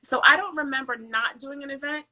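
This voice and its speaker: A bright, clear-toned woman with a light Jamaican accent that slips into American speech. She talks in a chatty, storytelling way, full of small fillers, as a podcast guest. Her voice sits a little high. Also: brisk. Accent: light Jamaican, mixed with American. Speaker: event planner guest. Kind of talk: casual podcast interview.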